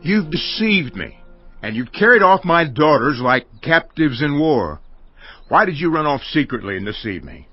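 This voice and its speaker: Indignant old patriarch, swooping voice. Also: male voice, on the high side, very animated, moderate pace, soft background music. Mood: indignant, accusing. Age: older. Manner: loud, accusing, declamatory. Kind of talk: dramatized audiobook acting. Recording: dull, dry studio recording.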